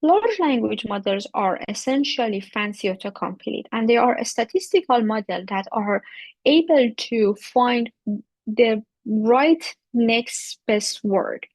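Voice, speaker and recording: A woman's voice: a little high, expressive, measured pace, no room sound, poor audio. Woman explaining AI. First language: Persian. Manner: explanatory, with frequent fillers and restarts. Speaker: AI researcher giving a talk. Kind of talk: technical presentation.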